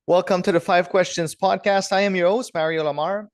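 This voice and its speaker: A man's voice: high voice, fairly even intonation, a little fast, music in the background. A man hosting a podcast, upbeat and direct as he asks questions. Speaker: podcast host. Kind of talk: podcast interview.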